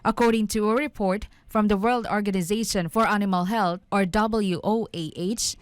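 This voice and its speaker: A woman's voice: mid-range voice, fairly even intonation, moderate pace, dry recording. A woman news reporter delivering scripted narration.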